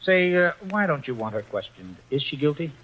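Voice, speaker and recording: A man playing a wisecracking young detective's assistant in a 1940s radio drama, brisk and fast-talking. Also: fairly high pitch, sing-song, highs cut off.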